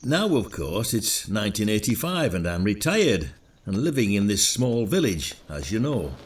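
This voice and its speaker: A man's voice: lowish voice, big pitch swings, normal speed, slight background noise. A man playing a wry, sarcastic retired village detective with a Northern English accent in a radio drama. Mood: dry. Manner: dry, sarcastic.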